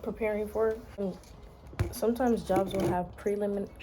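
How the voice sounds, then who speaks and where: An American woman vlogger chatting casually in a playful, sassy, slangy way, her voice slightly hoarse. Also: medium pitch, steady tone, normal speed, very noisy. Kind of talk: casual chatty day-in-the-life vlog.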